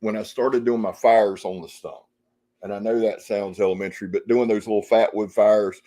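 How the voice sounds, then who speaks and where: Man, low pitch, mellow dark tone, rambling live-stream chat, folksy, swears casually. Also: fairly even intonation, moderate pace. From Jacksonville, Florida area. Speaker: outdoor channel host on a live stream.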